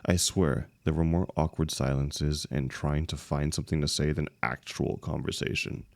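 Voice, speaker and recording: Very deep, gravelly young heartbreaker, acted by a man. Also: fairly even intonation, a little mellow, dry recording, clean studio sound. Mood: weary complaining. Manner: casual, halting, emotional, swinging emphasis. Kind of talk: intimate one-sided audio roleplay.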